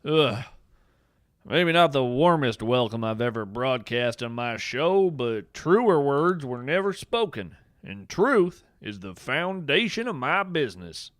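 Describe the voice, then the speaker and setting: A man's voice: medium pitch, big pitch swings, slow, a bit bright, dry recording, studio quality. A man playing a folksy, eccentric naturalist podcast host, delivering a whimsical scripted monologue in a theatrical, wry way. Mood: wry, grumbling. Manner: theatrical, folksy, wry, addresses listeners as friends. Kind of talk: scripted comedic monologue, radio-show storytelling.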